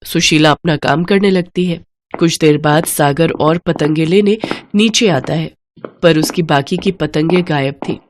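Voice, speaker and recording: A woman's voice: low-pitched, unhurried. A woman reading a scripted moral story for children as the narrator.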